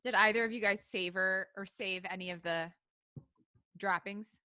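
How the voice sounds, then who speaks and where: Bright-voiced woman bantering on a podcast. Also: medium pitch, fairly even intonation, normal speed, clean tone. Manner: jokey, wry banter. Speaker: podcast co-host. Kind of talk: casual live podcast chat.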